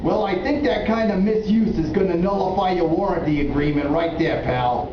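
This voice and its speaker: A man's voice: high voice, moderate pace, lightly acted. A man voicing a blunt, impatient, wisecracking working-class repairman in a children's puppet show. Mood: businesslike, nosy. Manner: blunt, informal, slangy, impatient. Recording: echoey, muffled, noisy room, far from mic.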